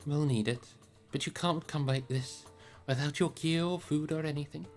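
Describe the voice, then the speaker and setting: Hobbit master acted by a book reader: male, gentle, resolute, well-spoken, slightly raised pitch, music underneath. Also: moderate pace, lightly acted. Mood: fond, relieved.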